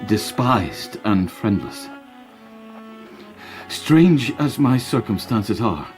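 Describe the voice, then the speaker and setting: An actor playing a troubled, secretive Victorian gentleman doctor in a gothic radio drama, speaking hesitantly and gravely. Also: lowish voice. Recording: music under voice.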